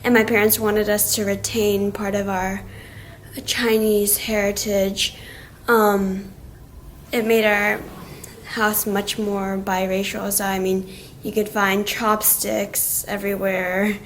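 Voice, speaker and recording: A woman speaking casually in a documentary interview, relaxed with fillers. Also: medium pitch, takes it slowly, slight background noise.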